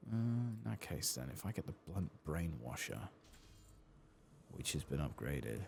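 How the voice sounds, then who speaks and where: A soft-spoken man doing an ASMR game playthrough, hushed and sometimes whispering, musing in a reflective, hesitant way as he plays, close to the microphone. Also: extremely low pitch, big pitch swings, a little slow, vocal fry, slight background noise. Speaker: ASMR gaming video host.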